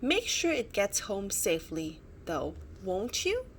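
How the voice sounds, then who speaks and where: A woman voicing an enormous, kindly, amused dinosaur in a children's storybook, gently giving advice. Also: mid-range voice, wildly swooping pitch, slow pace, a little hiss or hum, heavily acted. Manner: chuckling, gently advising. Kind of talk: children's storybook character reading.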